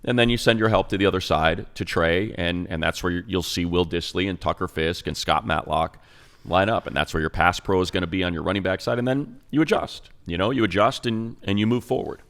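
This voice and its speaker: Deep, smooth-voiced sports radio man. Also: a bit bright. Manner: fast, stat-heavy, self-interrupting. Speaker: radio play-by-play announcer, co-host. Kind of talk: casual sports talk.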